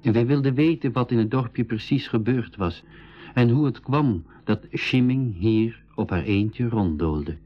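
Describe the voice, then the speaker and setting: A man playing the narrator of a school radio play, telling of his travels in measured storytelling. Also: medium pitch, close to an everyday voice. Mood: reflective. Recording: dull lo-fi 1980s radio recording.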